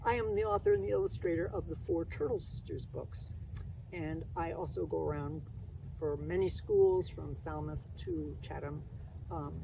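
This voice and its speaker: An older woman reading a children's story aloud outdoors, with deliberate, expressive delivery and asides. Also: low-pitched, gravelly. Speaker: children's book author and storyteller. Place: outdoors on a sandy pond beach. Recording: outdoor ambient noise, somewhat distant.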